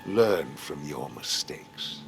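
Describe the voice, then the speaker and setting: An actor playing a wealthy old villain in a video game, measured and condescending, speaking in veiled threats. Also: male voice, rumbling low, very animated, gravelly, full character voice. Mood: taunting, threatening. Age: older. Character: wealthy, menacing old villain feigning kindly respectability. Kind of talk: dramatic video game acting. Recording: music behind the voice. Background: music.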